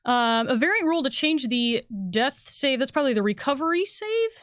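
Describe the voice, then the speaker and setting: Female co-host and game designer, slightly high voice, casual and agreeable, dry echo-free sound. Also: lively intonation, brisk, fairly bright tone. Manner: agreeable, chiming in on co-host's points. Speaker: game designer co-hosting a livestream Q&A. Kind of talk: casual chat, fielding viewer questions.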